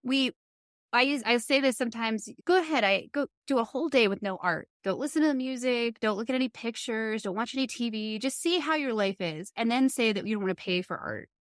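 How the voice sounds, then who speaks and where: A woman's voice: fairly high pitch, expressive, brisk, no room sound. Dry, close-sounding woman hosting a podcast. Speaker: podcast host. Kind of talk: casual, reflective conversation.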